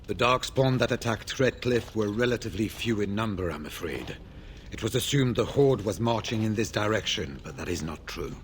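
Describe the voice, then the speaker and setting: An actor playing a grave veteran warden scout in a fantasy video game, speaking in a formal, measured way. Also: male voice, on the low side, lively intonation, slight background noise, lightly acted.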